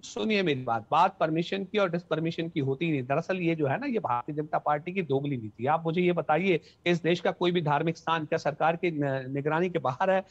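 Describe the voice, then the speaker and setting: A man speaking as a panelist in a heated television debate, arguing forcefully and repeating himself to keep the floor. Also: fairly high pitch, a little fast, hardly any pauses, dull audio. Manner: argumentative, repeats words to hold the floor.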